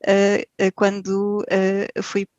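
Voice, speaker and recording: A woman's voice: medium pitch, completely flat delivery, very slow, darkish tone, no room sound, lo-fi sound. Portuguese woman w/ european accent, lots of ums n restarts, dead dry sound. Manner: hesitant, many fillers and restarts. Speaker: podcast guest. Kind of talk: casual interview.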